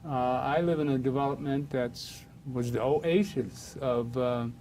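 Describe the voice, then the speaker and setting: Older Harlem man, mellow rambling host. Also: medium pitch, unhurried, a little hiss or hum. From Harlem, New York. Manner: rambling, laughs often, many fillers. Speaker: talk show host. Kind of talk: casual reminiscing chat.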